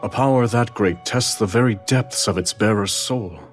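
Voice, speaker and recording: A man voicing a grave, reflective king with a formal, measured delivery in a dramatic video game. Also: fairly low pitch, soft background music, lightly acted. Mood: grave, pondering. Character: noble, burdened male king, grave and reflective.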